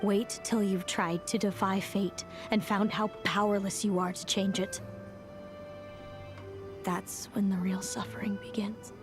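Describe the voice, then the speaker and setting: A woman voicing a bitter, weary young seer in a video game, speaking in a measured, cold, world-weary way. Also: mid-range voice, level tone, over music, lightly acted. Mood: grim resignation.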